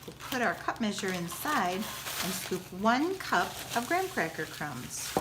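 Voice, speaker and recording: Woman doing a cooking how-to, teacher style, really slow and simple, noisy background. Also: mid-range voice, very animated. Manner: instructional, simple clear directions. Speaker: school teacher presenting a recipe. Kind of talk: step-by-step cooking demonstration.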